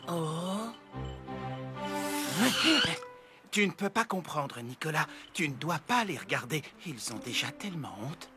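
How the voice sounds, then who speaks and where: A man's voice: a little high, sing-song, slow pace, over loud music. An actor playing a grumbling, cautious male reindeer in a cartoon, sardonic and gloomy. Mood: downcast. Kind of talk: animated cartoon acting in French.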